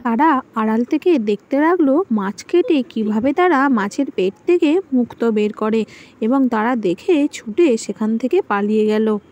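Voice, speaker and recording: A woman's voice: a little high, lively intonation, moderate pace, a little mellow, lo-fi sound. A woman narrator reading a scripted storybook tale for an animation.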